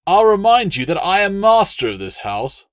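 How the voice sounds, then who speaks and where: A man's voice: high voice, sing-song, normal speed, no echo, studio quality, voice pushed up. A man voicing a blustering male dog handler, spluttering and aggrieved, in an audiobook. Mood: outraged. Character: blustering, red-faced male dog handler, master of house. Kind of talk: audiobook character dialogue.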